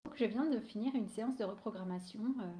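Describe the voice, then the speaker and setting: A woman's voice: medium pitch, quick pace, warm tone, echoey room. A woman with a cold who is losing her voice, an energy healer talking casually on a live stream, hesitant with many fillers and restarts.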